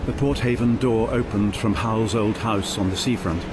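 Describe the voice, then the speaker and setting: A man's voice: on the low side, fairly even intonation, moderate pace, lightly acted. A man narrating a fantasy radio drama as a vivid, literary storyteller. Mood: dramatic. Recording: loud music and effects behind.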